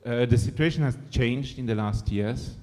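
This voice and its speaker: A man's voice: mid-range voice, normal speed, darkish tone. A man from Luxembourg, a screenwriter-director speaking from the floor in a thoughtful way with many fillers. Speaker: audience member, screenwriter-director. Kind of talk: question from the floor. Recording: hall reverb.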